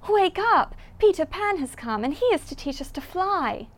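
An excitable little girl from a storybook, acted by a woman. Her voice is high and very animated, sweet and eager, exclaiming in wonder. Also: moderate pace. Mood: excited.

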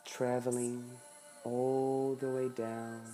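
A meditation guide speaking soothingly and softly in short phrases with long pauses between them. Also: medium pitch, little pitch movement. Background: background music.

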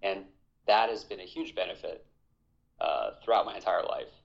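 A man in his thirties from Hawaii, an army veteran talking as a podcast guest in a measured, reflective way. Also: low voice, lively intonation, vocal fry, muffled recording. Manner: reflective, measured, with fillers and restarts. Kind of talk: conversational interview.

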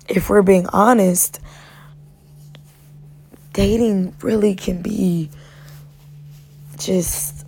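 A young woman hosting a solo podcast, her voice raspy and worn, talking in a casual, chatty, encouraging way. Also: mid-range voice, a little mellow. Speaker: podcast host.

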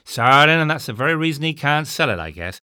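A man voicing a wry older male innkeeper who talks in a folksy, rustic drawl, often low and hushed. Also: very animated, fairly quick, clear, resonant tone, no room sound, clean studio sound, full character voice. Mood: teasing. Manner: rustic drawl with dropped words.

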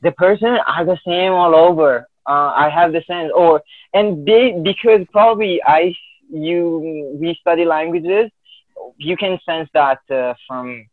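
Guy who grew up in italy, english, stop-start with lots of ums, kinda higher voice, tinny dry phone-ish sound. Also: unhurried, no echo. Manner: hesitant, many restarts and fillers. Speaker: guest. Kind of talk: casual conversation.

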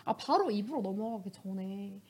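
Animated young woman hosting a chat. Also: mid-range voice, normal speed, breathy edge. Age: young adult. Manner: animated, giggly, polite. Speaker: radio show host. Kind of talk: casual friendly interview chat with scripted segues.

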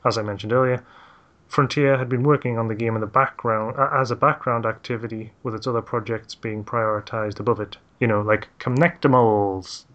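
A man's voice: medium pitch, fairly even intonation, a little fast, fairly bright tone, dull audio. A man hosts a casual podcast about games. He reads facts from his notes and drops jokey asides between them. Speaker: podcast host.

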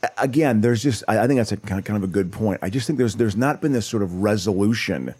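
A man's voice: on the low side, fast talker, darkish tone, breathy edge. A middle-aged man co-hosting a casual podcast chat. He rambles and restarts his sentences, hedging his points. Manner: rambling, hesitant, many restarts. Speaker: podcast co-host.

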